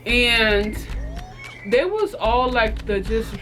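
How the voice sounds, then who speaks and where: A woman with a fairly high voice tells a casual story to her viewers. She is sassy and slangy, stretching words for emphasis and slipping into mimicry. Music plays clearly behind her. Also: animated, extremely slow, a bit bright. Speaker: storytime vlogger. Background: background music.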